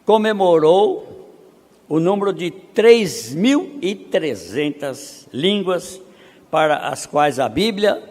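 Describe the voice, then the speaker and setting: A man from Brazil speaking at a missionary conference, reading statistics slowly and building to emphatic rhetorical questions. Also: a little high. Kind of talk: conference preaching. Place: seminary auditorium. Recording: long hall reverb.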